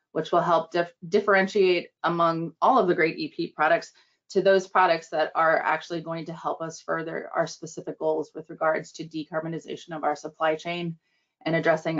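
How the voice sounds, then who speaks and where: A woman giving a measured webinar presentation with a few restarts, heard over a video call. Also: low voice. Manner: measured, steady, some restarts. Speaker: government program manager presenting. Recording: dull lo-fi call audio.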